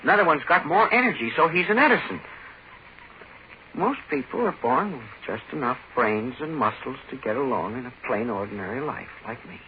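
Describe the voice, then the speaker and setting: Menacing everyman played by a male actor, halting and insistent, drunk on deadly power, swooping lines, muffled vintage radio drama sound. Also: mid-range voice, expressive, close to an everyday voice. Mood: halting, self-justifying. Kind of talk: 1940s radio horror acting. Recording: muffled, noisy old 1940s radio recording.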